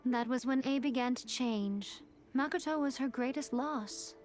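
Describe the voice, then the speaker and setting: A woman voicing a sly, elegant fox spirit priestess in a video game, poised, measured and playfully haughty. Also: sits high, faint music behind. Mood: composed, explanatory.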